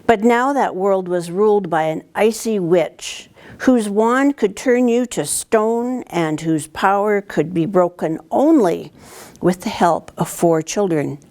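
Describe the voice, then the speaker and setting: An older woman narrates a storybook for young students. Her voice sits at a middle pitch. She reads expressively and deliberately, as a visiting storyteller. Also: a little mellow. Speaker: town councillor reading to students. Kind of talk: read-aloud storybook narration. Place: indoors, library corner with bookshelves.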